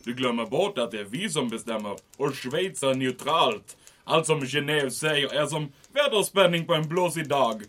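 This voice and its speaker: A man's voice: mid-range voice, expressive, a bit bright. En man som spelar en självgod, hånfull tysk ockupationsmajor med låtsad tysk brytning. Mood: gloating. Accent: mock German. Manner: mocking, gloating, theatrical. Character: smug scheming German occupation major, male. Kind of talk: audio drama acting.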